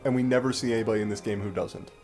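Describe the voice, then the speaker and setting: A man's voice: lowish voice, steady tone, very fast, darkish tone. A man giving casual, explanatory gameplay commentary with a sardonic streak and some mild swearing. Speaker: Let's Play host and commentator. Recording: game music behind the voice. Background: video game music.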